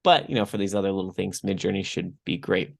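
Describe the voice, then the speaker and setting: A man's voice: deep voice, fast talker, gravelly. A young man hosting a podcast, talking casually and rambling a bit, his voice a little off from a recent throat infection. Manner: chatty, rambling with fillers. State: recovering from strep throat. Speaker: podcast host.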